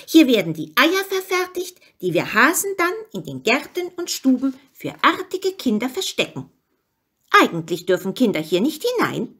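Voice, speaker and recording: A woman's voice: high-pitched, sing-song, normal speed, fairly bright tone, breathy edge, pitched above the performer's own voice, exaggerated voice. A woman voicing a friendly male Easter rabbit guide from a storybook, explaining proudly and emphatically. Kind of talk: storybook character reading.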